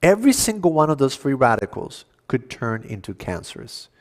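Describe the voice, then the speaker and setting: A man's voice: medium pitch, very animated, normal speed. Man giving a health lecture. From Cuba. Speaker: health seminar speaker at a church. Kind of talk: educational health lecture to an audience.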